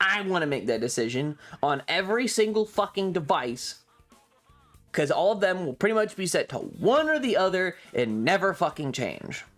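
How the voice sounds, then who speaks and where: A man's voice: sits high, big pitch swings, normal speed, a bit bright, faint music behind. A man chatting casually as a streamer, telling stories in an exasperated, rambling, sweary rant. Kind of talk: casual stream chat, ranting storytelling.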